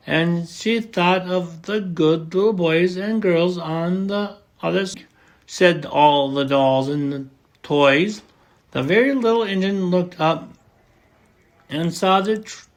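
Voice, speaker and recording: A man reading a children's picture book aloud as an amateur audiobook, slow and deliberate. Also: high voice. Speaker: audiobook reader.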